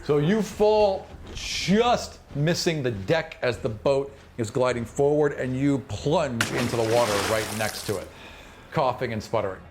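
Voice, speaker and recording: A man improvising colloquial, animated narration as game master of a tabletop fantasy game. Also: mid-range voice, a little slow. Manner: animated, graphic, colloquial storytelling. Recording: noisy room recording. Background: clearly audible background noise.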